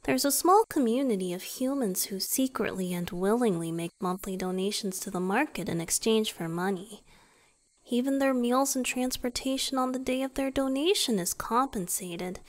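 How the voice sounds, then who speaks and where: A woman voicing a gentle, weary male vampire boyfriend in an intimate ASMR roleplay, soft and breathy, often whispering, explaining tenderly with hesitant pauses. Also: fairly high pitch, lightly acted. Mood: earnest, reassuring.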